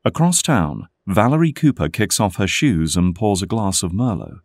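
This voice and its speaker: A man's voice: sits low, big pitch swings, moderate pace, a little mellow. A man reading scripted, dramatic narration as the narrator of an audio drama. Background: background music.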